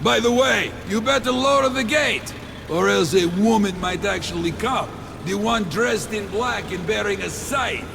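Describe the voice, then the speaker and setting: A man voicing a joking, world-weary fisherman in a video game, with a gravelly, teasing drawl. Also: high voice, measured pace, noisy background.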